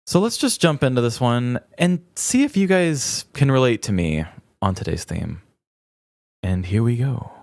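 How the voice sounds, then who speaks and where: A man's voice: mid-range voice, animated, a little slow, creaky, darkish tone, no echo. A man co-hosting a casual podcast, chatting and then reading out a wry personal essay in a dry storytelling manner. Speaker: podcast co-host. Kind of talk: casual chat and read-aloud essay.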